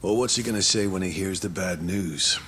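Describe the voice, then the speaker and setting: A man's voice: deep voice, normal speed, a bit bright, slight background noise. An actor playing a stern but caring male prison guard who lectures firmly, in a video game dub. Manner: firm, lecturing.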